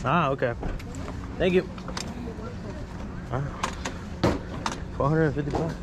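Male flea-market vlogger, slightly high voice, friendly and casual, recorded outdoors amid very loud background noise. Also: slow. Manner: polite, friendly, often repeats back prices. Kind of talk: casual chat with vendors. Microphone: action camera worn by the speaker. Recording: noisy outdoor street market. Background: loud market and street noise.

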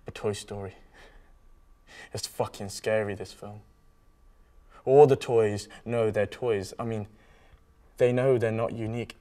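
A man's voice: lowish voice, steady tone, a little slow, long pauses, dark tone, close to an everyday voice. A man acting a disillusioned, foul-mouthed lad in a rambling, matter-of-fact dramatic monologue. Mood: uneasy, bleak. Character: sweary, disillusioned young lad brooding on toys.

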